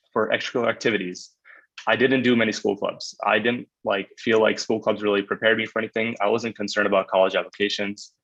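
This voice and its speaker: Young guy panelist, lowish flat voice, says like a lot, dull call audio. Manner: laid-back, lots of like and um. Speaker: webinar panelist. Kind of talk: casual advice talk.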